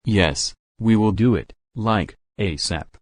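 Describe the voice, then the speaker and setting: A man's voice: on the low side, takes it slowly, no echo, studio quality. A man voicing an angry, protective cartoon hero with a blunt, profane manner. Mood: determined. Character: angry, foul-mouthed male cartoon hero, protective brother. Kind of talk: amateur animated drama dialogue.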